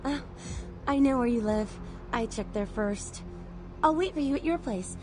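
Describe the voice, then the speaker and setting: A woman's voice: fairly high pitch, lively intonation, moderate pace, music in the background, close to an everyday voice. A woman playing an eager, clingy young devotee in a video game, nervous and earnest as she pleads. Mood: eager, devoted.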